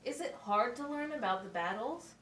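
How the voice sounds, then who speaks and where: A woman's voice: on the high side, expressive, moderate pace, fairly bright tone. A woman playing a patient, instructive schoolteacher in an amateur puppet show, explaining things simply and asking checking questions. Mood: encouraging, patient. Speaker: teacher. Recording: roomy, distant mic with faint noise.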